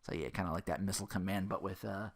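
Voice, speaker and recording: A man's voice: deep voice, quick pace, husky. A man hosting a podcast, giving casual, rambling gaming commentary with little acted-out asides. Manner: rambling, animated asides, acting out little quotes. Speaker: podcast host.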